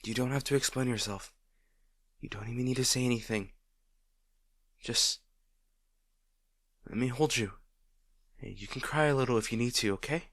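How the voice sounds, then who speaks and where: A man playing a gentle, protective boyfriend in an intimate roleplay audio, speaking in a tender, reassuring way. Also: mid-range voice, normal speed, pauses often, no echo, clean studio sound, close to an everyday voice. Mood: tender, soothing. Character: gentle, protective boyfriend comforting a distraught partner.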